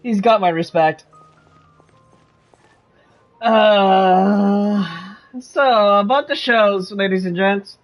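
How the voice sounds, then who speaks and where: A man's voice: high-pitched, very slow, long pauses, soft background music. A man giving casual reaction commentary as a game streamer.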